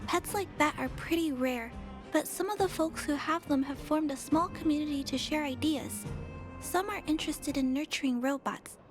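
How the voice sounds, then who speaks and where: A woman voicing a gentle, soft-spoken young girl who cares for robots, earnest, polite and a little hesitant, in a video game. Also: sits high, normal speed, music in the background, natural voice. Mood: thoughtful, earnest.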